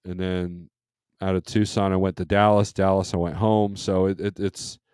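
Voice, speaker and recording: A man's voice: sits low, little pitch movement, normal speed, a little mellow, clean tone, no room sound, studio quality. A man in his fifties with an American accent, swapping stories in a casual open-forum chat as host. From California, United States. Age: 50s. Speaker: host, truck driver and dispatcher.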